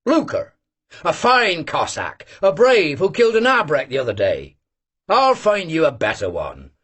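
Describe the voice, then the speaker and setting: A man voicing a boisterous, bragging old male Cossack who teases loudly and argues emphatically, in a husky voice. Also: on the high side, huge pitch swings, unhurried, voice pushed up, exaggerated voice. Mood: teasing. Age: older. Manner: bellowing, teasing, emphatic.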